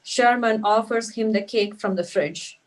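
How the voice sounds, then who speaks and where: A woman's voice: a little high, a little slow, poor audio. A woman narrating stage directions at a script table read.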